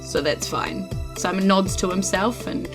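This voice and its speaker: A woman's voice: mid-range voice, lively intonation, a little slow. A woman chatting casually as she improvises as a player in a tabletop game. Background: music under the voice.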